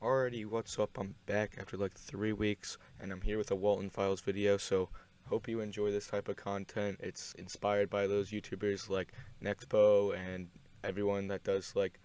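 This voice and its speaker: A man with a fairly low-pitched voice talks through a casual video essay. His delivery is offhand and rambling, with deadpan joking in the way he sums things up. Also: moderate pace, smooth. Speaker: video host.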